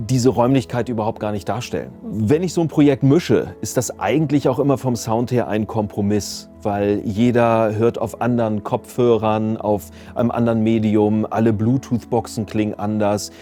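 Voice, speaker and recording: A man speaks in a relaxed, dark and mellow voice at an average pitch. He talks casually and reflectively, like a sound designer being interviewed, pausing to find words. Soft music plays behind him. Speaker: audio drama sound designer and mixer. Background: background music.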